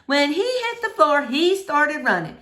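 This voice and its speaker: A woman reading a children's novel aloud in an expressive, animated storytelling manner. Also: high voice, a little slow. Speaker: storybook reader.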